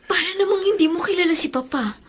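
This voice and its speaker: A troubled, gravely ill Filipino daughter in a radio drama. Her voice is high and plaintive, full of hurt. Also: fast. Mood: bitter, resigned. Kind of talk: Tagalog radio drama acting.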